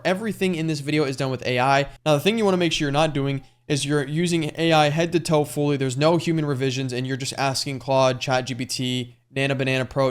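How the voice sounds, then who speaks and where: Fast-talking young male YouTube coach. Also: mid-range voice, clear, resonant tone. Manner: fast, casual, salesy talk with frequent "like". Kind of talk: screen-share tutorial.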